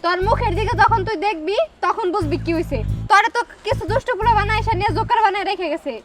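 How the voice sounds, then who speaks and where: A woman acting an exasperated young friend in a comic drama, with a very high voice. She nags and scolds in rhetorical questions while music plays over her. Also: very fast. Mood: scolding. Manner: nagging, full of rhetorical questions. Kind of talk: acted dialogue in a Bangla comic short drama.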